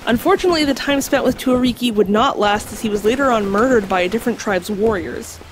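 Woman playing an earnest, high-voiced creature expert. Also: a little hiss or hum, close to an everyday voice. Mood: solemn storytelling. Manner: explanatory, measured, slightly formal. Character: earnest, scholarly female guide to mythical creatures. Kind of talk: audio drama acting, storytelling. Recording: music under the voice.

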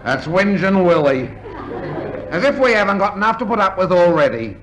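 An actor playing a cocky, irritable husband with an Australian accent in a 1950s radio comedy. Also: male voice, sits high, big pitch swings, moderate pace, fairly bright tone, highs cut off, some chatter behind. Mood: indignant. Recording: faintly noisy 1950s radio recording.

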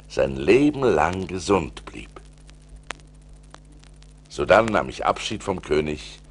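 Deep-voiced seafarer, slow and dramatic. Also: male voice, slight background noise, full character voice. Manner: theatrical, deliberate, swelling with suspense. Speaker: narrator. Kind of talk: dramatic storytelling in an audio play. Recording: vintage vinyl audio play transfer.

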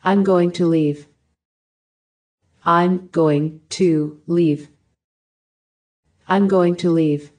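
A woman reading short English phrases for a language lesson, each one repeated with careful, even enunciation. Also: on the low side, very slow, pauses often. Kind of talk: slow repeated phrase drills.

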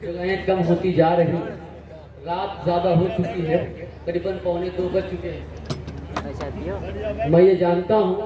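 Impassioned high-voiced man preaching, booming echo. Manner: impassioned, repeats phrases for emphasis, rising to near-shouts. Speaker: religious speaker at an urs gathering. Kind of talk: Urdu-flavoured devotional speech. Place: large crowded outdoor or hall gathering at night. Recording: loud booming PA echo from a distance.

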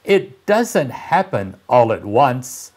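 A man voicing a wise, gentle old toy horse from a storybook, explaining things patiently and deliberately. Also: medium pitch, sing-song, slightly rough, heavily acted.

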